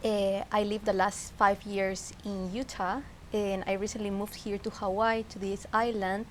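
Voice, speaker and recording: A woman's voice: medium pitch, flat delivery, measured pace. A woman from Peru with a Peruvian Spanish accent, talking casually and reflectively as a guest, searching for her phrasing in English. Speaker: interview guest. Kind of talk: casual personal conversation. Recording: clear background noise, ambience.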